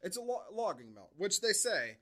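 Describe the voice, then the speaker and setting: A man's voice: high voice, very animated, moderate pace, a crowd in the background. A man co-hosting a podcast, giving a casual, comedic movie recap in a sardonic manner. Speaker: podcast co-host.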